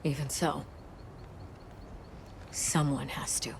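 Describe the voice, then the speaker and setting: A woman playing a stern, resolute leader in a video game, speaking gravely and decisively. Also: low-pitched, level tone, slow, background noise, heavily acted. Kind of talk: video game cutscene acting.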